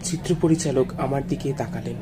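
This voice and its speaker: A man narrating a first-person ghost story in a brooding, reflective, measured way. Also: mid-range voice, music in the background, a crowd in the background.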